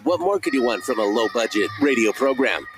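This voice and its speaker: A male announcer delivers a scripted show intro with a punchy, tongue-in-cheek lilt and lively pitch. Music plays faintly beneath him. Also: brisk, vocal fry. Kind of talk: scripted promo narration.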